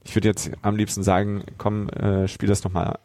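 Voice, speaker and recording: A younger man chats casually as a podcast co-host. His voice is fairly low, and the sound is close and dry, with hardly any room to it. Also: monotone, normal speed, darkish tone, no echo. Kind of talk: casual chat.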